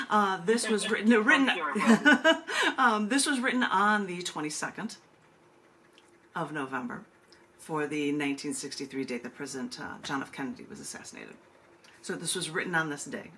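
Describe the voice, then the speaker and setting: A woman hosting a virtual open mic, reading her poems and introducing them casually, with deliberate, emphatic phrasing and drawn-out pauses. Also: fairly low pitch, bright, forceful tone, a little hiss or hum. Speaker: open mic host and poet. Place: virtual open mic over video call.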